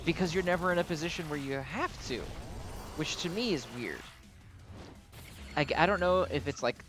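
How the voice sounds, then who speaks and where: A man with a medium-pitched voice talks through a game as host of his own channel. He speaks casually and without a script, circling around his points and hedging as he goes. Music plays softly behind him. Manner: hesitant, rambling, often hedging his opinions. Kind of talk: casual unscripted game commentary.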